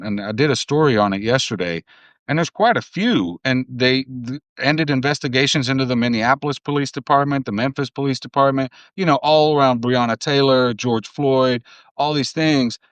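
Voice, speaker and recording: A man's voice: medium pitch, fairly bright tone, dry recording. A man from New Jersey talking earnestly through the news on a podcast, with lots of fillers. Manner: earnest, explanatory, filler-heavy. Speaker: podcast co-host, journalist. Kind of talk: casual political talk.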